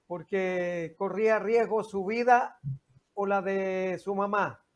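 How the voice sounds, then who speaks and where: An older man with a Chilean accent hosting a religious interview as a pastor, speaking in a deliberate, halting, preacherly way on a dull recording. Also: high voice, steady tone. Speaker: TV host and pastor. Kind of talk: religious interview, devotional talk.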